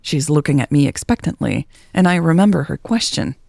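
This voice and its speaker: A woman's voice: low-pitched, lively intonation, brisk, creaky, a little mellow, no echo, clean studio sound. A woman reading a first-person romance story as a scripted narration.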